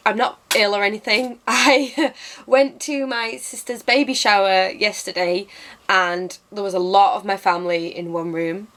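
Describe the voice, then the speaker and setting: A young woman, a little hoarse from losing her voice, chatting casually and self-mockingly through a makeup tutorial at her desk. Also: medium pitch, fairly even intonation, a little slow, fairly bright tone. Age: young adult. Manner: chatty and self-mocking, lots of ums and restarts. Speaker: beauty vlogger. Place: bedroom with bookshelves, indoors.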